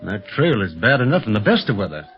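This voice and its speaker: An actor playing a cautious, commanding secret-agent uncle, brisk and authoritative, in a 1930s radio serial. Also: male voice, fairly low pitch, very animated. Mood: polite. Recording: noisy, muffled 1930s radio recording.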